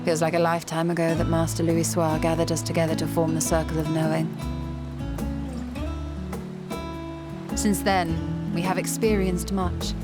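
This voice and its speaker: A woman voicing a thoughtful, resolute scholar in a fantasy game, measured, formal and eloquent. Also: fairly low pitch, natural voice. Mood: reflective. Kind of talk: dramatic fantasy game cutscene acting. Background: music.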